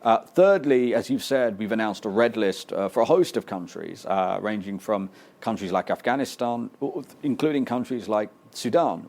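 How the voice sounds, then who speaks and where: A man's voice: on the low side, gravelly, a little mellow. A British man, a politician answering interview questions earnestly and insistently, often repeating words as he presses his point. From Britain. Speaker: political guest.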